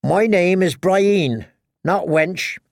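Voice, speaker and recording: A man's voice: high-pitched, drawn-out words, gravelly, no room sound, clean studio sound, voice pushed up, heavily acted. A man voicing a blunt, dour warrior noblewoman in an audiobook, with stiff, terse delivery. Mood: stiff, defiant.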